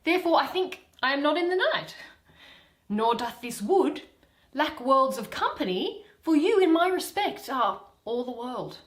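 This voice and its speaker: A woman acts a lovesick young heroine of Shakespeare, imploring and stubbornly devoted. Her pitch runs high and leaps about, and the lines unfold slowly in an echoing room. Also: sing-song. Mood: adoring. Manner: impassioned, imploring. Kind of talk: dramatic Shakespeare reading.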